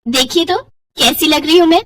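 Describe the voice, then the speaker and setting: Cartoon story role: humble, devoted young housemaid played by a woman, deferential, fairly high voice. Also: fairly quick, a bit bright, no echo, clean studio sound, recorded from a distance. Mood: tender. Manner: polite, deferential.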